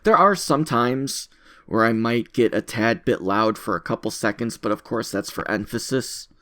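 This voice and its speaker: A man's voice: mid-range voice, normal speed, pushed and bright. A man talking casually in an unscripted audio log, rambling in a self-deprecating way and repeating his phrases. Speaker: content creator recording an audio log.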